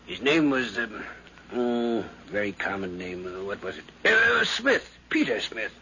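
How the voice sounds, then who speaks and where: An actor playing a garrulous, morbid older gentleman who rambles and hems, in a dull, noisy 1950s radio drama recording. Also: lowish voice, big pitch swings, measured pace, full character voice. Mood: earnest, rambling. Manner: rambling, blustery, hemming.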